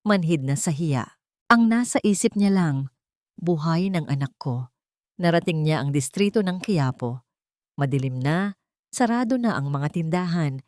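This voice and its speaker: A woman's voice: lowish voice, darkish tone, no echo, clean studio sound. A woman narrating a scripted love drama in a dramatic storytelling style.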